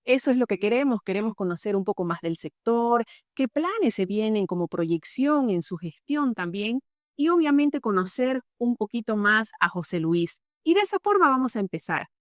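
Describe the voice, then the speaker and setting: A woman's voice: on the high side, sing-song, moderate pace, no room sound, studio quality. A woman hosting an interview, speaking in a polite, formal manner as she introduces and questions her guest. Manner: polite, formal, warm-toned welcome. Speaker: interviewer, host.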